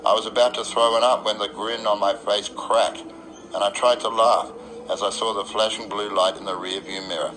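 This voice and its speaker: A man's voice: fairly low pitch, fairly even intonation, moderate pace, poor audio. An Australian man performing a dramatic first-person radio narration in a wry, literary beat-poet storytelling manner. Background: electric guitar music.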